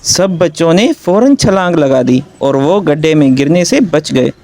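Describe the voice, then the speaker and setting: A man's voice: on the high side, expressive, measured pace, darkish tone. A man narrating a scripted story for children.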